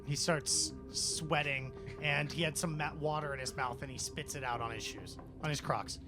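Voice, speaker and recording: A man's voice: fairly high pitch, fairly even intonation, moderate pace, music in the background. A man working as game master, improvising narration for a tabletop role-playing game.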